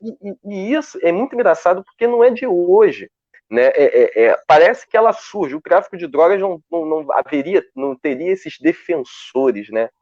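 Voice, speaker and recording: A man speaking on a live video call, talking in a lively way with many restarts as he searches for words. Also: sits high, moderate pace, dry recording. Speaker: guest researcher. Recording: dull, dry lo-fi call audio.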